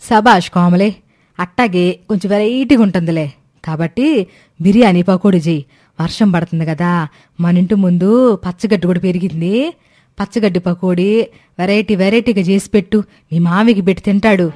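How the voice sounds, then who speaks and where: A woman voicing an exasperated, sarcastic older mother-in-law in an animated story, scolding with dry mockery. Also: lowish voice, moderate pace, long pauses, close to an everyday voice. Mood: dryly mocking. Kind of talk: Telugu animated moral story dialogue.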